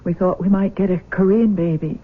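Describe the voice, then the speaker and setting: An actress playing an anguished, tender older adoptive mother in a 1970s radio drama, halting and repeating her words. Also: medium pitch, steady tone, natural voice. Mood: sorrowful confessing. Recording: dull lo-fi old radio broadcast.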